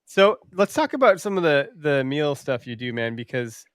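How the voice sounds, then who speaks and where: A man's voice: a little high, big pitch swings, normal speed, smooth, no room sound, clean studio sound. A man hosting a podcast in casual chat, informal and bantering, with some swearing. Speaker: podcast host.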